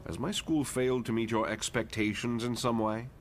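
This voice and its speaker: A man's voice: mid-range voice, fairly even intonation, moderate pace, slight background noise, natural voice. A man voicing a polite, composed professor and school head in a motion comic, formal in manner. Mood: courteous. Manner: courteous, formal.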